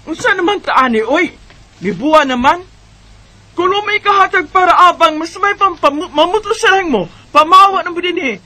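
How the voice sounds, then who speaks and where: Harsh Visayan landlady for radio drama, very sharp forceful voice, curt and impatient. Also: very high-pitched, lively intonation, moderate pace, exaggerated voice. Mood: stern, scolding. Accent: Visayan Filipino. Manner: scolding, curt. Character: harsh, impatient landlady evicting poor tenants.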